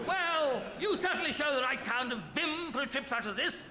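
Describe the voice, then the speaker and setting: An actor playing a pompous, expedition captain in a live radio comedy. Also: male voice, very high-pitched, expressive, normal speed, a crowd in the background. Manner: pompous, clipped, posh. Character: pompous upper-class male expedition captain. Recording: echoey live hall broadcast.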